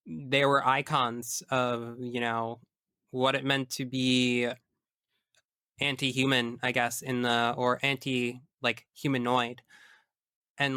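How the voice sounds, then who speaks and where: A man's voice: mid-range voice, level tone, slow, bright, forceful tone, clean tone, no room sound, clean studio sound. A man chatting casually as a podcast guest, opinionated and emphatic, swearing freely. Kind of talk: casual chat about video games.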